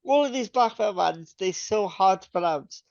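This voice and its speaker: A young man co-hosting a metal podcast, his speech rambling and full of restarts. His voice sits a bit high and carries a gravelly, smooth edge. The sound is dry and dull, like a lo-fi podcast mic. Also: lively intonation, normal speed, no room sound. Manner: rambling, many fillers and restarts. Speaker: podcast co-host. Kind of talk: casual chat.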